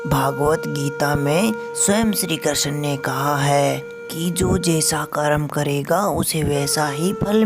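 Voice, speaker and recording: A woman voicing a wise, solemn eagle guru who preaches patiently in an animated moral story. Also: deep voice, creaky, heavily acted. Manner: measured, preaching. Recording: music behind the voice.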